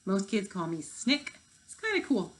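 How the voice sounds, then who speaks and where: A woman's voice: mid-range voice, very animated, normal speed, lightly acted. A woman reading the part of a defensive, cocky fifth-grade boy with an offhand manner.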